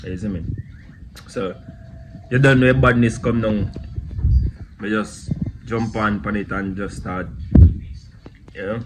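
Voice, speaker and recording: A man's voice: fairly low pitch, fairly even intonation, slow pace, long pauses. Laid-back Jamaican man, noisy recording. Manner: laid-back patois talk with repeated "you see me". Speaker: vlogger. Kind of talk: casual chat.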